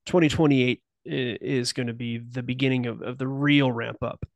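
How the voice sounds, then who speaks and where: A man's voice: medium pitch, fairly even intonation, moderate pace, dry recording, muffled recording. A man speaking as a podcast guest in casual chat, rambling and restarting sentences as he speculates. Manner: rambling, self-interrupting, speculative. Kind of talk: casual conversational interview.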